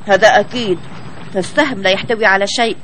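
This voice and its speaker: Lady doing a brisk cartoon animal pal, talks fast, noisy soundtrack. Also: mid-range voice, close to an everyday voice. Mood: firm. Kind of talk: children's cartoon dubbing in formal Arabic.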